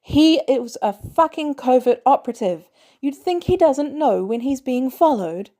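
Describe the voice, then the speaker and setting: A woman's voice: high voice, animated, moderate pace, warm tone, voice pushed up. A woman voicing a wary, defensive man, a former covert operative, with terse delivery in a story.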